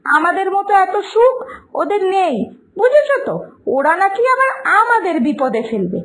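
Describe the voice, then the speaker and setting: A woman's voice: extremely high pitch, very animated, moderate pace, a bit bright, heavily acted. A woman voicing a sensible, outspoken young daughter in a cartoon moral tale, lecturing earnestly. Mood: earnest, exasperated. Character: sensible, outspoken young daughter arguing patiently against superstition. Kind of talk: Bengali moral-story cartoon dialogue. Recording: music behind the voice.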